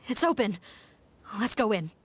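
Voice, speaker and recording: A woman playing a brisk, observant officer in a video game, speaking with authority. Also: on the high side, big pitch swings, background noise. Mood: purposeful. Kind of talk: scripted video game dialogue.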